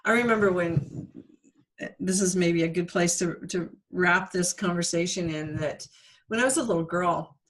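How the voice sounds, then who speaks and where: A woman's voice: lowish voice, moderate pace, rough recording. Earnest woman telling a story on a podcast. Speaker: podcast co-host. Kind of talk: casual reflective chat.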